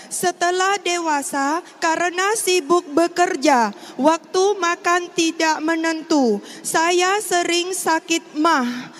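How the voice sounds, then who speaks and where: Very high-pitched Indonesian woman in a hall. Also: a bit bright. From Riau, Indonesia. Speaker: testimony speaker. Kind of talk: prepared personal testimony speech. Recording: long hall reverb, faint background noise.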